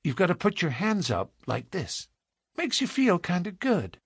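A man's voice: high voice, huge pitch swings, a little slow, creaky, slightly rough, dry recording, clean studio sound, voice pushed up, exaggerated voice. A man voicing an innocent little girl in a storybook, with artless, earnest, childlike prattle and a thin voice. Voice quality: thin, lighter voice.

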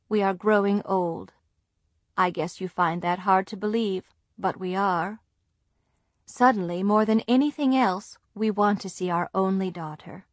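A woman's voice: medium pitch, no echo, studio quality, natural voice. A woman reading out an older grandmother's prim letter. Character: older grandmother writing a stiff, formal letter.

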